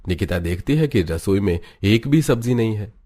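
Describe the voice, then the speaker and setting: A man's voice: lowish voice, expressive, normal speed, dark tone, faint music behind. A man giving a scripted narration for a cartoon family story.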